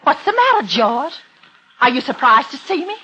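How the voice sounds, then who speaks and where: A woman's voice: high-pitched, very animated, moderate pace, sharp tone, slight background noise, full character voice. A woman playing a frantic, spiteful middle-aged wife in fear for her life, in melodramatic old radio thriller style. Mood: bitter, accusing. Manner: melodramatic, pleading, hysterical. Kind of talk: 1940s-50s radio thriller acting. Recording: dull old radio recording with music.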